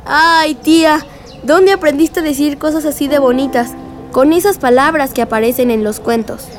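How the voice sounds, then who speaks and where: A woman playing a curious, cheeky young girl with an expressive, inquisitive manner in a radio drama. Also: high voice, normal speed, natural voice. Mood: admiring, curious.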